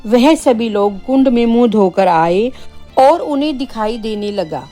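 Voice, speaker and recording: A woman's voice: fairly high pitch, animated, measured pace, dark tone. A woman narrating a scripted spooky tale for children as a storyteller. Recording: background music under the voice.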